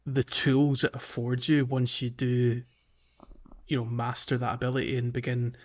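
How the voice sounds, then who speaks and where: A man with a medium-pitched voice chats as a podcast co-host. His delivery is informal and hesitant, with phrases that stop and start as he works through a thought. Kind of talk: casual video game podcast chat.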